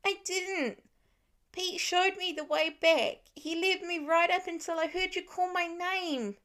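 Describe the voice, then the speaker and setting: A woman's voice: very high voice, steady tone, unhurried, fairly bright tone, voice pushed up. A woman voicing an earnest, pouty five-year-old boy in a storybook reading. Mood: insistent, upset. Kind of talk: audiobook character voice in fan fiction.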